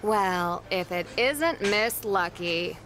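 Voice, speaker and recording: A woman's voice: medium pitch, lively intonation, slow, fairly bright tone, slight background noise. A woman voicing a bitter, jealous female wrestler who speaks in a sneering, resentful way. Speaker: rival wrestler.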